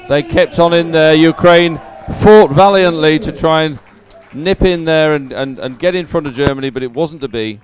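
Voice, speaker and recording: A man's voice: a little high, moderate pace, hardly any pauses. A man giving live race commentary in an informal, conversational and encouraging way. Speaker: sports commentator.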